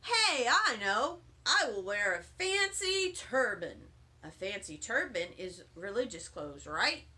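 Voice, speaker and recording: A woman voicing a quirky, excitable little first-grade boy in a storybook, eager and emphatic. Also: medium pitch, slow, voice pushed down, exaggerated voice. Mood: excited.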